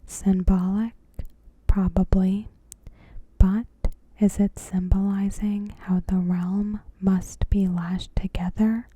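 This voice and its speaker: Woman, ASMR host, breathy unvoiced whisper, very slow and deliberate, scripted recap, extremely soft mellow tone. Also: medium pitch, level tone.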